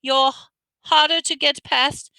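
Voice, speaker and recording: A woman's voice: high-pitched, very animated, a little fast, pushed and bright, dry recording, pitched above the performer's own voice, heavily acted. A woman reading aloud, voicing a stern, strict older female professor with a clipped, reproving manner. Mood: furious.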